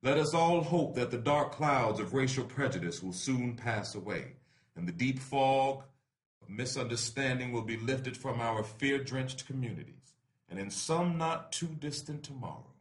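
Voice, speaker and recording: Man, solemn letter reading, oratorical, measured phrasing, deliberate pauses, echoey room. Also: mid-range voice.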